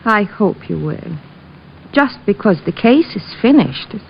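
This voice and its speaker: A woman's voice: medium pitch, sing-song, measured pace, vocal fry. A woman playing a composed, coy wife of a murder suspect in 1950s radio drama style. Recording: muffled, noisy old radio recording.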